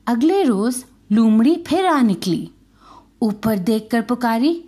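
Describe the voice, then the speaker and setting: A woman with a very high-pitched voice tells a children's tale. She narrates from a script as a storyteller. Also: animated, mellow.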